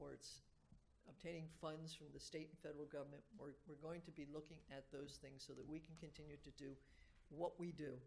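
An older woman speaks at a podium in a low voice with a gravelly creak. She gives semi-formal public remarks, halting and restarting as she goes. Faint room noise sits behind her. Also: a little mellow. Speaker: board director giving welcome remarks. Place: government board chamber, indoors. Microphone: gooseneck podium microphone.

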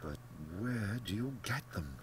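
Man as stiff, slow-spoken, indignant young hunter. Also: lowish voice, expressive, a little hiss or hum, voice pushed up. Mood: heated. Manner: stiff, terse, heated. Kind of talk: dramatic audiobook character acting.

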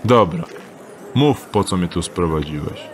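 A man's voice: deep voice, expressive, unhurried, noisy background. An actor playing a wry, mocking male thief in an audio drama.

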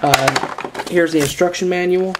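A man hosting a casual unboxing vlog in a halting, informal way, recorded indoors on a handheld camera's built-in microphone. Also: sits high, very noisy. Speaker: video host.

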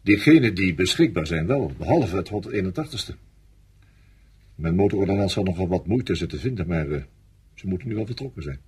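Gruff commander for radio play: low, heavily husky, curt, dry, formally polite to superiors. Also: male voice, lively intonation, fairly quick, darkish tone, full character voice. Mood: formal, restrained. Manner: curt, dry, deferential in form. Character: gruff, blunt senior male army commander. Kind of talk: Dutch radio play acting.

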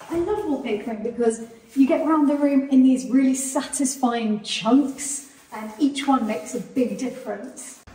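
An enthusiastic woman in her forties talks to camera, her voice sitting a little high. She chats brightly and teases as she goes, filmed on a phone held close in a large indoor room. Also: poor audio. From England. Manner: chatty, enthusiastic, teasing. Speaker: vlog host. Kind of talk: casual vlog narration. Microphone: handheld phone camera at arm's length.

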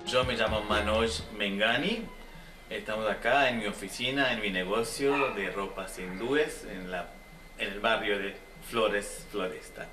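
A man's voice: lowish voice, unhurried, music in the background. A man in his fifties from India with an Indian accent, talking in an interview as a shop owner. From Jaipur, India. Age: 50s. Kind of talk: interview talk. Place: indoors in a clothing shop. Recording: roomy, slightly distant, faint noise.

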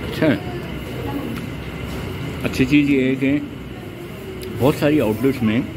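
Food-tasting man over loud traffic. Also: mid-range voice. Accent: Pakistani Urdu. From Pakistan. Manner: unhurried, tasting and commenting, mixing in English. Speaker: food vlogger. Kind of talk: casual food review. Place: restaurant, by a busy road. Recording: loud street and traffic noise. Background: traffic and city ambience.

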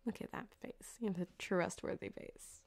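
A woman voicing a flirtatious, sweetly menacing yandere cyberpunk doctor in an ASMR roleplay, soft-spoken and halting, often slipping into a whisper, close on a binaural mic. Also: medium pitch, creaky. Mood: coy, clinical. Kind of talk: ASMR roleplay addressed to the listener. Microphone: close binaural microphone.

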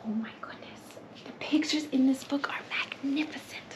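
A woman in her thirties hosting a children's story time, reading and singing expressively with hushed, breathy asides. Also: sits high, moderate pace, whispered. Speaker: library story time host. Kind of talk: children's story time read-aloud and sing-along. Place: children's library room, indoors. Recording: noticeable background room noise.

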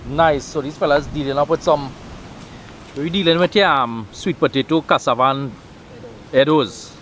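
A man with a Trinidadian accent vlogging a casual outdoor day by the sea, chatty and relaxed, on an action camera. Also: on the high side, normal speed. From Trinidad and Tobago. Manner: relaxed, chatty, Creole phrasing. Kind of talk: casual outdoor vlog narration. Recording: wind and surf noise on a camera mic.

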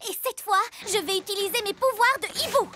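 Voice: extremely high pitch, brisk, bright, forceful tone, slight background noise. A performer playing a feisty, determined six-year-old girl superhero in a dubbed children's cartoon. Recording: music behind the voice.

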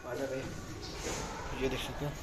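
A man's voice: medium pitch, monotone, slow pace, heavy background noise. A young man chatting casually and informally to viewers as a travel vlogger, using slang, on a handheld phone. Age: young adult. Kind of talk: casual vlog chat to viewers. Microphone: phone held at arm's length.

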